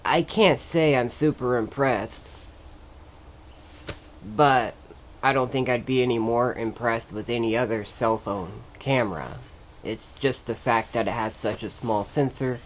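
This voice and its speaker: A man's voice: mid-range voice, unhurried, slight background noise. A man talking casually and informally as a vlogger, rambling a little as he introduces a review. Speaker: travel vlogger and host. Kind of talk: casual vlog talk and review.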